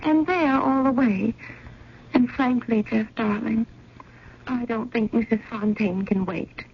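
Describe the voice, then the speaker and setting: A woman's voice: on the high side, takes it slowly. An actress playing an earnest, anxious, genteel woman in a 1970s radio drama, speaking in a refined, fretful way. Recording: muffled old radio, faint noise.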